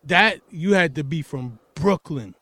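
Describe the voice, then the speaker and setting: A man's voice: a little high, expressive, unhurried, sharp tone, no echo. A man from Queens with a New York accent, chiming in emphatically and repeating phrases to hold the floor. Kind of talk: casual chat.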